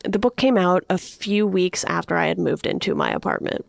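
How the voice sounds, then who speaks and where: Woman podcaster with a creaky voice. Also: lowish voice, huge pitch swings, moderate pace, dry recording, clean studio sound. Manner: frequently laughs while talking. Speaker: podcast co-host. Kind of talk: casual book discussion.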